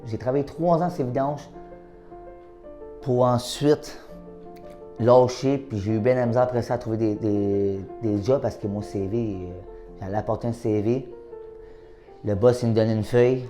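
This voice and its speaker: A man with a Québécois accent, an adult literacy learner, telling his story in a lively way and acting out his own past thoughts. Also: medium pitch, moderate pace, long pauses, faint music behind. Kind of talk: personal testimony.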